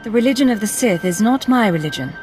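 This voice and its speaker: An actress playing a cool-headed, defiant Imperial agent in a video game, with clipped, dry delivery. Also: female voice, mid-range voice, lively intonation, fast, darkish tone, faint music behind, close to an everyday voice. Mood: composed, questioning.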